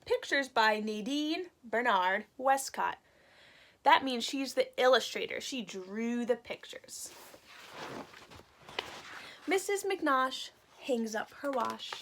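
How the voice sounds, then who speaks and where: A woman with a high, bright voice reads a picture book to young children in the manner of a teacher. Her delivery is unhurried and expressive, and she stops to put words into simple terms. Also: slight background noise. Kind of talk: children's read-aloud with simple explanations.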